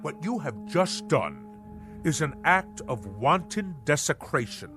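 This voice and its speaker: A man's voice: a little high, sing-song, unhurried, a bit bright, faint music behind. An actor in a radio drama playing a pompous, blustering middle-aged businessman who talks in bombastic nautical phrases. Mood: indignant bluster. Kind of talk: theatrical radio drama acting.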